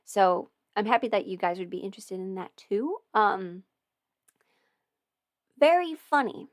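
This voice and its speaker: A woman's voice: mid-range voice, lively intonation, measured pace, dry recording. Young woman rambling thru a livestream q&a, lots of um and like, dead dry close mic. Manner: chatty and meandering, many fillers and restarts. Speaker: audio performer and channel host. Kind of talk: casual livestream Q&A.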